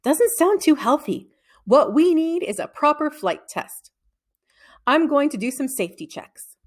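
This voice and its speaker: A woman's voice: high-pitched, very animated, a little slow, voice pushed up. A woman voicing a confident, insistent schoolboy in a children's story, earnest and assertive. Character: confident, insistent schoolboy who takes charge.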